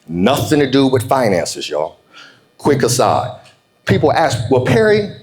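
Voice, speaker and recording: An American man in his forties giving a motivational keynote, telling stories emphatically with dramatic pauses and colloquial call-outs to his audience. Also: mid-range voice, reverberant. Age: 40s. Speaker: keynote speaker, business coach.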